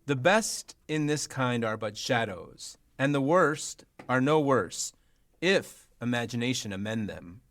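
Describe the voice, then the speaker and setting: A young man as wry, courtly duke, mid-pitched. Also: animated, measured pace, a bit bright. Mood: wry amusement. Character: Theseus, amused, courtly duke commenting on a play. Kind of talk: 1940s radio play acting of Shakespeare.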